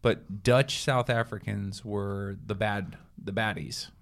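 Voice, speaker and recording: A young man with a fairly low voice, hosting a podcast and rambling through stories in a loose, joking way. He often breaks off and restarts his sentences as he goes. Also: steady tone, slow, vocal fry. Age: young adult. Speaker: podcast co-host. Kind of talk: casual chat.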